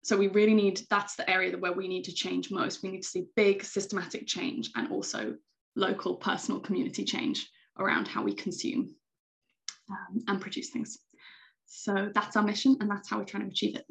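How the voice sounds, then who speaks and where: Gravelly-voiced British young woman. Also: medium pitch, moderate pace, rough recording. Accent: British English. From Britain. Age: young adult. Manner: enthusiastic, chatty with many ums. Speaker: secretary general of a youth organization. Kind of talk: podcast interview answers.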